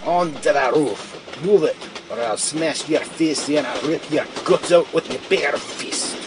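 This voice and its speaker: A man's voice: unhurried, natural voice. Man playing a menacing mad Scotsman, train noise. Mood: threatening. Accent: Scottish. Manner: menacing, blunt. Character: mad, threatening Scotsman with a gun. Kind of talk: audio comedy drama acting. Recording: very noisy, train rumble behind.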